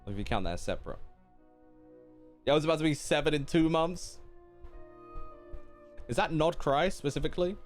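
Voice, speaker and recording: A man's voice: a little high, lively intonation, brisk, pauses often, soft background music. A man streaming a game, chatting and reacting casually in a playful, rambling way.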